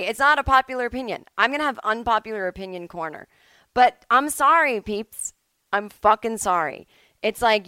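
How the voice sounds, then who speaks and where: A middle-aged woman rants casually into a close, dry recording. Her voice sits at an ordinary pitch for a woman, and she speaks bluntly and emphatically, swearing freely as she gives her opinions. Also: sharp tone, no echo. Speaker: solo podcast host. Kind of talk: casual opinionated monologue, ranting. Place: at home.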